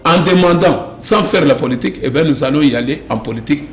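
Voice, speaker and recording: A man's voice: on the high side, fast, faint music behind. A man from DR Congo with a Congolese French accent, speaking insistently as a political interview guest, repeating phrases and posing rhetorical questions. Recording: roomy echo with clear background noise.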